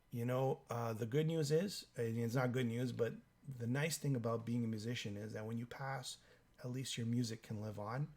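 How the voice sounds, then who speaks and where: A hoarse man with a cold hosts a live stream, reading out viewer comments in a halting way with many fillers. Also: medium pitch, a little mellow. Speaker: livestream host.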